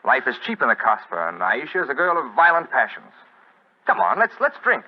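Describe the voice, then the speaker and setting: A smooth, devious English expatriate in an old radio play. His pitch swings widely as he feigns nonchalance, hesitant and excitable by turns. The sound is a dull vintage recording. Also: a little fast. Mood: glib, casual. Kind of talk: 1940s radio acting.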